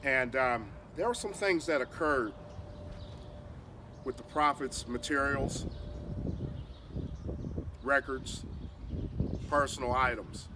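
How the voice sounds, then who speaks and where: A man's voice: medium pitch, takes it slowly, pauses often. A man with a head cold and a slightly nasal voice hosts an interview outdoors in a city park, rambling with many fillers. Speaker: host. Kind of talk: casual interview introduction. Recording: distant mic outdoors with clear street noise.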